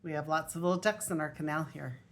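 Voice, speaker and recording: An older woman, a grandmother, reading a children's picture book aloud in a deliberate way with emphasized story words. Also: sits low, fairly even intonation. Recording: faint noise on homemade video.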